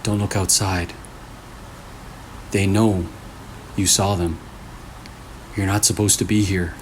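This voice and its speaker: Man acting menacing unknown presence, low pitch, hushed clipped warnings, horror story, slight background noise. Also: level tone, slow pace. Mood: cold warning. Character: menacing mysterious phone message, cold and cryptic.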